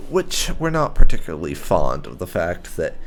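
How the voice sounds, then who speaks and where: Guy doing let's play roleplay commentary, lowish gravelly voice, halting and thoughtful, trails off. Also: wildly swooping pitch, soft background music. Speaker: gaming channel host.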